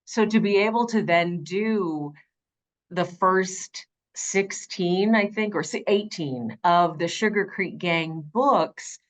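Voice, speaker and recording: Middle-aged woman, low alto, interview guest recounting her audiobook narration career with emphatic conviction. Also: takes it slowly. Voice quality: low alto, changed by vocal nodules. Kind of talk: conversational interview storytelling.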